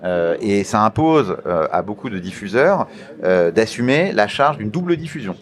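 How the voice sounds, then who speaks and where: A man giving formal interview answers as an official, in a deliberate, halting manner with emphatic repetition of key phrases. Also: on the low side, very animated. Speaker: interview guest, media regulator official.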